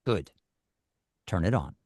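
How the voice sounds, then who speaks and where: A man's voice: slow pace, darkish tone, no room sound, clean studio sound, lightly acted. A man playing a cold, controlling kidnapper in a screenplay table read, terse and commanding. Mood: falsely soothing. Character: cold, controlling male kidnapper calling his victim "Mother".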